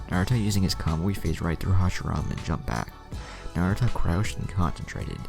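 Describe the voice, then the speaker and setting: A man's voice: deep voice, vocal fry. A man reading scripted fan fiction as a narrator. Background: music.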